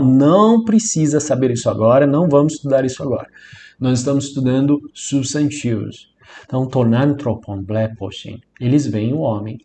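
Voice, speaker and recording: A Brazilian man teaching a language class in a mellow, relaxed voice at a middling pitch. He speaks informally but didactically, repeating key points and putting weight on the important terms. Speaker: Biblical Greek teacher. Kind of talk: informal instructional lecture.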